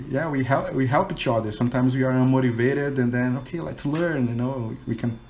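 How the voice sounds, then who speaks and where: A Brazilian man speaks English with a Portuguese accent over a video call. His talk is loose and chatty, full of "you know" and quick laughs. A faint hum of the room sits under his voice. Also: mid-range voice, darkish tone. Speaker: English teacher and learner. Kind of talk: casual conversation practice call.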